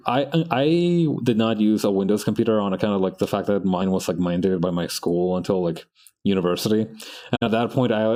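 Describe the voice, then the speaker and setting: A man's voice: on the low side, dark tone. A young man whose first language is Spanish, chatting in a rambling, casual way while he draws on stream, often breaking off mid-sentence and laughing. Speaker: artist streaming practice.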